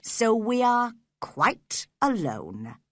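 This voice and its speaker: A woman voicing a cold, scheming grown man who speaks with quiet, controlled menace. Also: low voice, expressive, takes it slowly, no room sound, clean studio sound, pitched below the performer's own voice, full character voice. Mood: coldly menacing.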